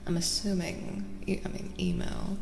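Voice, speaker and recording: A woman speaking softly and soothingly as an ASMR streamer, breathy and often dropping to a whisper. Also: fairly low pitch, flat delivery, roomy sound, in a large hall, slight background noise. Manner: gentle, slow, soothing. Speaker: streamer hosting ASMR tarot readings. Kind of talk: soft-spoken ASMR live stream chat.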